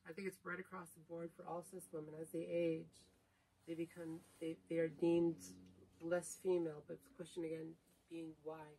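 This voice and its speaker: A woman's voice: fairly low pitch, flat delivery, moderate pace, warm tone, slight background noise. A younger woman thinking aloud in a casual chat with her partner, analytical and reflective, searching for her words. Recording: distant mic.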